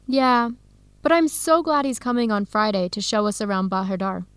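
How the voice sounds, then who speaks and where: An actress playing a warm, enthusiastic young American woman researcher in an educational radio drama. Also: medium pitch, animated, normal speed, clean tone, no room sound, natural voice. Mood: friendly, curious.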